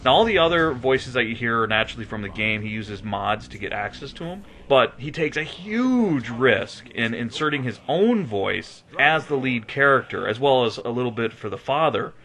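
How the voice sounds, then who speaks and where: Very bright, emphatic male video host. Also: mid-range voice, expressive, moderate pace, hardly any pauses. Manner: earnest, emphatic, effusive. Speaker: host of game movie review show. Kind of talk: enthusiastic conversational video commentary.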